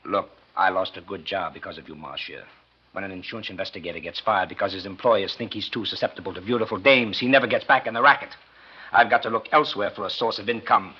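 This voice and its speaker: An actor playing a smug, scheming American blackmailer in a 1940s radio drama, talking in sly, insinuating slang with a husky voice. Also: male voice, low-pitched, quick pace. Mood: menacing, bitter. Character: smug scheming male blackmailer, fired insurance investigator. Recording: muffled 1940s radio recording.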